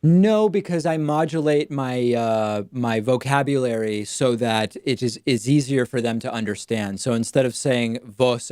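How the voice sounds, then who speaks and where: A man hosting a call-in talk show, raised in Argentina, talking briskly and directly with callers. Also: medium pitch, a little mellow, dry recording, clean studio sound. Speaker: talk show host taking calls. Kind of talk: casual call-in radio talk.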